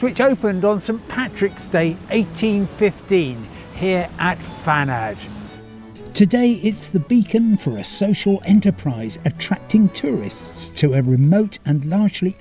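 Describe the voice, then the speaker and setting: A man's voice: high-pitched, a little mellow. A man presenting a travel documentary in polished, enthusiastic scripted narration.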